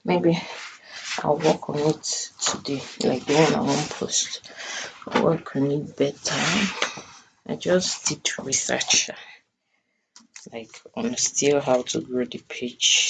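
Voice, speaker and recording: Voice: medium pitch, vocal fry, background noise. A person with a Nigerian accent chatting casually in a voice note, informal and slipping into Pidgin. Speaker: storytelling channel creator.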